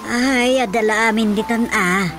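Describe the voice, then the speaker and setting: A woman acts out a gossipy village complainer in an Ilocano radio comedy. Her voice sits a little high, with an Ilocano accent and plaintive, tattling interjections. Music plays loudly underneath. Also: steady tone, normal speed, close to an everyday voice. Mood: gossipy, urgent.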